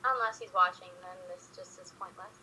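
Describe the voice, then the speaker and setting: A woman's voice: on the low side, fairly quick, bright, forceful tone, a little hiss or hum, poor audio. A young woman hosting a casual livestream, chatting informally as she makes prank calls. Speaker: livestream host.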